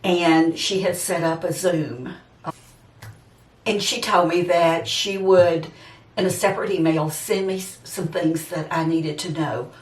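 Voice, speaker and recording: A woman's voice: fairly low pitch, fairly even intonation, measured pace. A woman in her seventies chatting casually and a bit ramblingly to her viewers, addressing them directly. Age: 70s, seventy-six. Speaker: vlogger. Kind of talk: casual personal chat to viewers. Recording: roomy echo, distant microphone.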